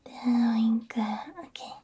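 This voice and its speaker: A woman whispering gently and soothingly in an ASMR roleplay as a repair technician, breathy and close to the microphone. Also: fairly high pitch, monotone. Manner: gentle, soothing, unhurried, soft hums between phrases. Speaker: ASMR VTuber playing a robot repair technician. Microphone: close binaural ASMR microphone.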